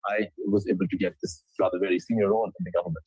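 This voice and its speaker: A man's voice: low voice, fairly even intonation, racing, darkish tone, husky, dry recording, rough recording. A man with a Pakistani accent, giving a testimonial as an entrepreneurship leader. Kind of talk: testimonial to camera.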